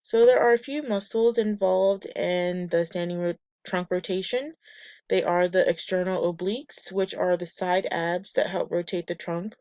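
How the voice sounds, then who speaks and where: A woman presenting a class lesson from slides, a bit nasal and raspy from a cold, reading steps and lists in a measured way. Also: on the low side, steady tone, a little mellow, no room sound, muffled recording. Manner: measured, reads steps and muscle lists methodically. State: getting over a cold, slightly nasal and raspy. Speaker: student presenter.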